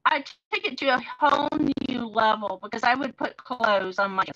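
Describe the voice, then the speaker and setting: Guest author: a chatty Texan woman, high and bright, with a dull, dry mic sound. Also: moderate pace, dry recording. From Texas, USA. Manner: chatty, laughs often. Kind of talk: casual interview chat.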